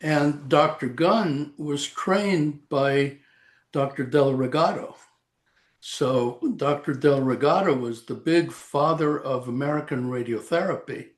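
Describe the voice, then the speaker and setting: A man in his eighties from El Salvador, a retired radiation oncologist recalling his career in a halting, rambling interview over a video call. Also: a little high. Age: 80s. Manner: slow, rambling, halting with frequent "uh". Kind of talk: reminiscing interview.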